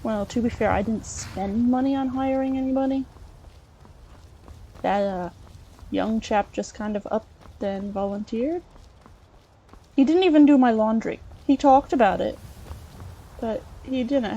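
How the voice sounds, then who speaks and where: A woman's voice: fairly high pitch, measured pace, pauses often, mellow, muffled recording. A woman playing a polite, wry scholar adventurer, musing aloud in character through a video game. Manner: musing, chatty, dryly polite.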